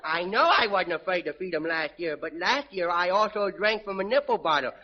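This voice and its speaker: A man's voice: high voice, lively intonation, a little fast. A man playing a mischievous little boy with childish grammar and cheeky wisecracks in 1940s radio comedy. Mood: wary, bratty. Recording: muffled, noisy vintage radio recording, studio audience.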